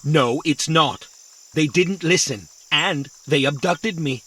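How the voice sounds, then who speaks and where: A man's voice: on the high side, slow, sharp tone, full character voice. A man voicing a childlike, cheeky robot in a kids' audio drama, with a blunt, naive and indignant manner. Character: cheeky, childlike robot, proud son of his inventor.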